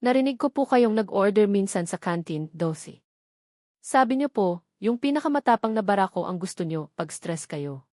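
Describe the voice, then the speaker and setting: A woman voicing a polite, shy young male hospital guard in a storybook drama, with a soft, deferential manner. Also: medium pitch, lively intonation, normal speed, no echo, clean studio sound, close to an everyday voice. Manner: soft-spoken, deferential, humble.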